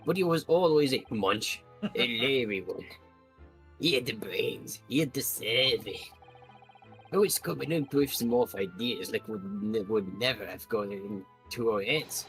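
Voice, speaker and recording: A man's voice: lowish voice, a little slow, a bit bright, faint music behind. A man voicing a nostalgic small-time crook in a courtroom video game, rambling in slang. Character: nostalgic small-time Cockney crook brother on the witness stand.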